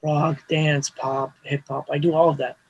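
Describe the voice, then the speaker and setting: A man's voice: a little high, little pitch movement, measured pace, darkish tone, highs cut off. A man, a Hebrew speaker, talking casually as an interview guest, rambling with restarts and frequent "you know" fillers. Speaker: mastering engineer guest.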